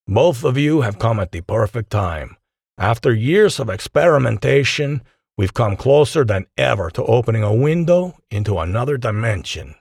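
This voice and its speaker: A man voicing a proud, courteous senior scientist who speaks in a formal, deliberate way in an audiobook. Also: medium pitch, very animated, creaky, darkish tone, slightly rough, dry recording, clean studio sound, full character voice. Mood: proud, commanding.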